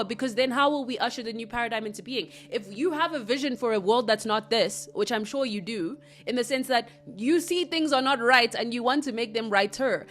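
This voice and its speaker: A woman from Africa, hosting a solo spiritual podcast, earnest and reflective with soft asides. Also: a little high. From South Africa. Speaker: podcast host.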